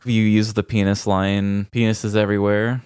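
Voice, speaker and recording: A man's voice: lowish voice, flat delivery, moderate pace, darkish tone, clear, resonant tone. A man co-hosting a podcast, talking casually in a laid-back, wry way. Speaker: podcast co-host.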